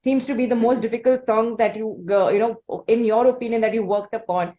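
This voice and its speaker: A woman's voice: on the high side, steady tone, fairly quick. A woman with an Indian accent interviewing a guest in a conversational style. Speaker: podcast interviewer. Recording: roomy, dull lo-fi sound.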